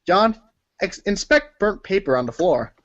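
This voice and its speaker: A man's voice: high-pitched, very animated, measured pace, background noise. A man narrating a read-along story in the second person, giving commands to a character. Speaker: narrator of a group reading. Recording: dull lo-fi audio.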